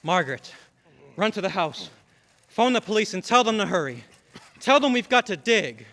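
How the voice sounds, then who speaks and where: A man's voice: high-pitched, big pitch swings, normal speed, a bit bright, a little hiss or hum, heavily acted. A man playing a stern, exasperated father in an old-time radio drama, with emphatic delivery. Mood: urgent. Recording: long hall reverb.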